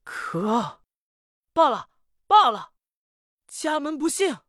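A woman's voice: medium pitch, normal speed, no room sound, lightly acted. Storybook patriarch, an enraged old man barking at his family, acted by a woman narrator. Close, dry sound. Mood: raging. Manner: scolding, shouting outbursts. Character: furious old male squire, head of house.

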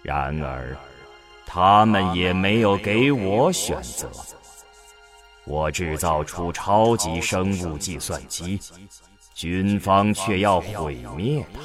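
Guy playing a grave visionary scientist in a radio drama, real low and slow, music behind him. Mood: quiet regret. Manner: slow, solemn, deliberate oratory. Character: grave, visionary male scientist, convinced he saved the world. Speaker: voice actor in an audio drama. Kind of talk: Chinese sci-fi radio drama acting.

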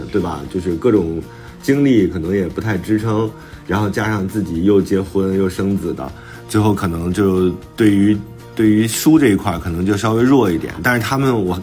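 一位男性脱口秀主播，轻松随意地聊天，爱讲自己的小故事和吐槽。. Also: male voice, on the low side, darkish tone. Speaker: podcast co-host and author. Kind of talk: casual banter on a talk show.

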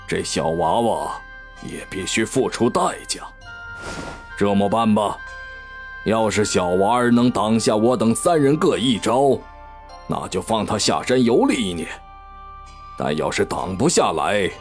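A low-voiced lion demon king for a fantasy audio drama. He is domineering and proud, drawling his orders slowly and pompously. Music plays softly underneath.

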